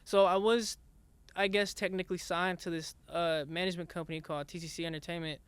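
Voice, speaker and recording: Soft-spoken young man, high clear voice. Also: level tone, no room sound. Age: young adult. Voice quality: raspy singing voice. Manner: soft-spoken, halting, many restarts. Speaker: rap artist guest. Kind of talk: casual interview chat.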